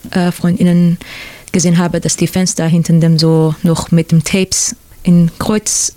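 An interview guest from Iran, a native Persian speaker with a Persian accent. The voice sits at a medium pitch with little melodic movement, searching for words in a dry studio. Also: flat delivery, measured pace, mellow, dry recording. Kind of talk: reflective radio discussion. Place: radio studio.

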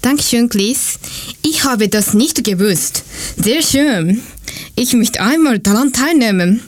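A woman from Japan presenting a class segment in a slow, careful learner's manner. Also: on the high side, lively intonation. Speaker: student presenter. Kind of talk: read-aloud class presentation.

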